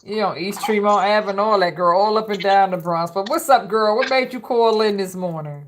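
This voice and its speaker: A woman's voice: mid-range voice, normal speed. A woman in her fifties from East Harlem with a New York accent, hosting a live call-in reading in an easygoing, chatty way. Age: 50s. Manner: easygoing, chatty, uses "girl". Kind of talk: casual live-stream call-in chat.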